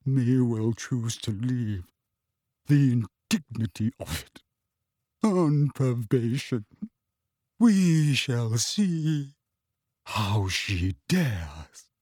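A man voicing an insulted middle-aged witch seer, high-pitched and wavering, dramatic and self-pitying. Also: lively intonation, takes it slowly, darkish tone, no room sound, studio quality. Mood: muttering.

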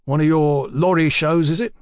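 A man's voice: on the high side, fairly even intonation, a little mellow, no room sound, studio quality, voice pushed up, natural voice. A man voicing an easy-going, talkative working-class bill-sticking boss in an audiobook, with folksy, colloquial phrasing. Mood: businesslike, genial. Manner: folksy, unhurried, colloquial, garrulous.

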